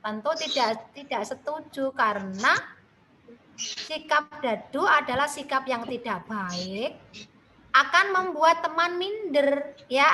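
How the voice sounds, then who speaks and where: Javanese lady teacher talking slow to little kids on a video call, bright voice, bit of noise behind. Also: high-pitched, rough recording. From Central Java, Indonesia. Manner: slow, patient, addresses children with tag questions. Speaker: primary school teacher. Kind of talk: online class lesson.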